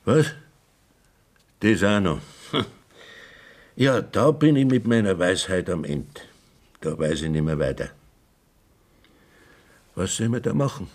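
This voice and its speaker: A Bavarian village priest speaks in a fairly low voice. He is strict about doctrine yet resigned, admonishing and sighing in folksy dialect, as in a radio drama. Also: animated, takes it slowly, long pauses, full character voice. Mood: helpless, resigned. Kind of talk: dialect radio play acting.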